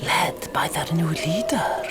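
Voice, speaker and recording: A woman narrating an audiobook chapter in a soft, deliberate ASMR whisper. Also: low voice, animated. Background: loud music.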